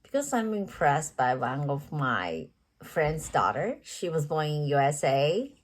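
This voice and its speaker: Low-voiced woman. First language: Chinese. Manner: earnest, measured, frequent restarts and self-corrections. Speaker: teacher interview candidate. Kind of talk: rehearsed interview answers. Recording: steady background noise.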